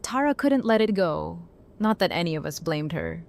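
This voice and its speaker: A woman's voice: fairly low pitch, big pitch swings, normal speed, creaky, darkish tone. A woman playing a blunt, battle-worn apocalypse survivor in a naturalistic audio drama. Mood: candid.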